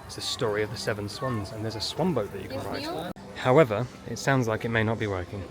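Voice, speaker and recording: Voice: mid-range voice, quick pace, a crowd in the background. A parent narrating a family vlog in a casual walk-through style, out and about in a theme park. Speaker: vlogger, parent on a family outing. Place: outdoors in a theme park, walking, sometimes inside small buildings. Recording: loud music behind, outdoor park ambience. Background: loud music.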